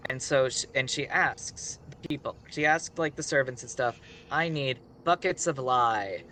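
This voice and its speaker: A man's voice: medium pitch, unhurried, pushed and bright, slight background noise. A man telling a story casually on a live stream, rambling with conversational asides.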